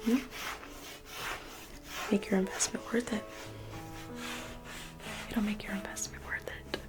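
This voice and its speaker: A woman in an ASMR roleplay, playing a private museum guide in a slow, coaxing whisper that repeats phrases. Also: fairly even intonation, over music. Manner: coaxing sales-pitch tone.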